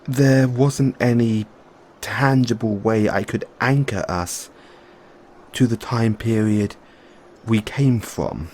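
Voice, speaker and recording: A man playing a nervous, geeky mad scientist boyfriend in an audio roleplay, explaining things haltingly to his partner. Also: on the low side, a little mellow, slight background noise. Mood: sheepish, hesitant. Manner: hesitant, stammering, explaining at length. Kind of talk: audio roleplay acting, addressing the listener as partner.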